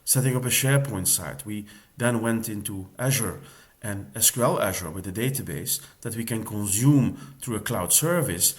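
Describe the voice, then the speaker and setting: Man narrating scripted demo links. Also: medium pitch.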